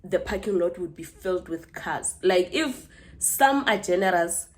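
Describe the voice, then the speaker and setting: A woman's voice: on the low side, lively intonation, a little slow. A woman in her twenties with a South African accent, telling a personal story casually to camera as a vlogger, chatty and full of asides to her viewers. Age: 20s.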